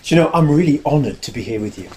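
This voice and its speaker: A man's voice: fairly high pitch, expressive, rapid-fire, warm tone. English man with a big, carrying voice. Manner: chatty, self-deprecating, addresses the room directly. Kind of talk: live talk to an audience.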